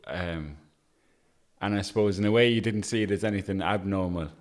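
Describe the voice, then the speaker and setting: A man's voice: low voice, a little fast. A man hosting an interview, talking casually and thinking aloud with frequent restarts. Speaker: interviewer, host.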